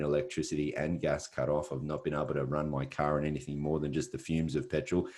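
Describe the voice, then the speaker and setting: A man's voice: a bass voice, a little mellow. A middle-aged Australian man hosting an online presentation, talking in an earnest, repetitive way with frequent fillers. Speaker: webinar host. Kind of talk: motivational presentation.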